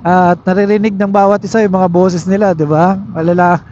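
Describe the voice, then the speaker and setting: A man's voice: high voice, little pitch movement, brisk, darkish tone. A man with a Filipino accent casually reviewing gear as a motovlogger, explaining things and often checking in with tag questions, recorded through a helmet intercom while riding. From Philippines. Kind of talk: casual product review to camera. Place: on a moving motorcycle, inside a helmet. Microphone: helmet intercom microphone. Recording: dull helmet intercom audio with riding noise. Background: faint vehicle noise.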